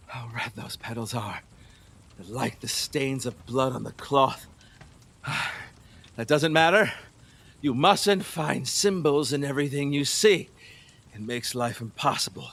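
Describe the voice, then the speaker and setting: A decadent king of the stage, lustful and superstitious. His delivery is slow and grandiose, with wild leaps in pitch and a sharp, bright edge. He swings between murmurs and cries in full audio-drama style. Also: animated, gravelly, husky, full character voice. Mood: weary, musing. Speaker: actor. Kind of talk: theatrical audio drama acting.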